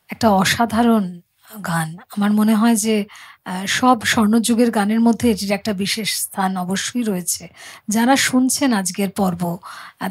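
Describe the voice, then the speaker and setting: A woman's voice: medium pitch, breathy edge. A woman hosting a casual music chat show, addressing guest and viewers.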